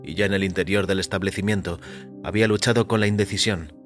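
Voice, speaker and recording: A man narrates audio fiction in a fairly low voice. His delivery is measured and deliberate, like a storyteller reading in the first person. Soft music plays underneath. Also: fairly even intonation. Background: background music.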